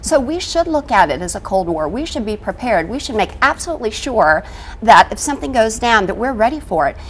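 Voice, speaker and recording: An American woman argues emphatically on a studio panel. She talks quickly in a highish, lively voice that swings up and down. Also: slight background noise, rough recording. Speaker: panel commentator. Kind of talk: opinionated panel discussion. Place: television studio.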